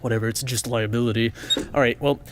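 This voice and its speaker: An actor playing a wry, slightly uneasy new archivist in a naturalistic audio drama. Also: male voice, medium pitch, fairly even intonation, fast, natural voice. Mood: wry muttering. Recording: clearly noisy room recording.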